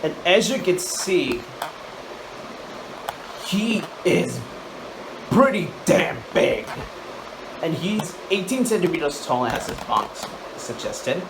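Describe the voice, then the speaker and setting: A man hosting a casual hands-on toy review, speaking haltingly and repeating words and phrases. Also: high-pitched, very animated, creaky. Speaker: toy reviewer, channel host. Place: indoors, room at home. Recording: loud air-conditioner-like background noise.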